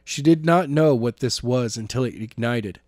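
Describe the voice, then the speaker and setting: A man's voice: medium pitch, moderate pace, a little mellow, dry recording. Man reading fiction aloud, dry sound. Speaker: podcast narrator and co-host. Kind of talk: audiobook-style reading of a novel chapter, amateur.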